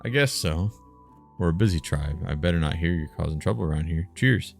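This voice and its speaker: A streamer voicing a loud, boastful warrior woman from a tribe, reading a fantasy game with brash bragging. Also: deep voice, brisk, vocal fry, faint music behind.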